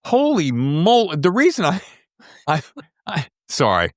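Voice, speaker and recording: Man, middle-aged podcast host, very lively intonation, dry close sound, excitable, slangy, casual. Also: on the high side, slow, dry recording. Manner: excitable, geeky enthusiasm with slangy exclamations. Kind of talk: casual, chatty interview.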